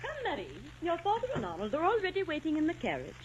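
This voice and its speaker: A firm, practical, proper mother in vintage radio drama. Her voice is high and very animated. The old recording is dull with faint noise. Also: fast, exaggerated voice. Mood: urging.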